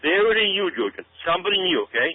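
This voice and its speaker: A man's voice: high voice, big pitch swings, racing, no echo. A man giving dramatic commentary as a radio show guest, speaking emphatically, repeating himself and asking rhetorical questions. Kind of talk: dramatic speculative commentary to listeners. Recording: muffled narrow-band radio sound.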